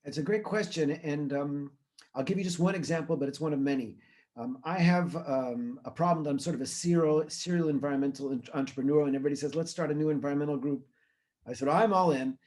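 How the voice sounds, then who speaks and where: Earnest middle-aged man, slightly high voice. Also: quick pace, darkish tone. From Israel. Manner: earnest, thoughtful, many fillers and restarts. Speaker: guest speaker, environmental researcher. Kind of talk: conversational interview answers.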